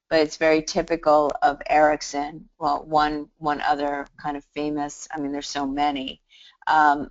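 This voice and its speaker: Low-voiced woman, chatty hypnotherapy teacher. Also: darkish tone, dull audio. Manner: chatty storyteller, many restarts and "you know". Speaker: hypnotherapy instructor. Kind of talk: live online class Q&A.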